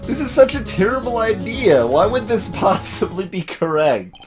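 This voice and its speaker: A man's voice: sits high, big pitch swings, moderate pace, over music. A man casually commentating on a game he is playing, thinking aloud in a hesitant way. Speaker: let's play host.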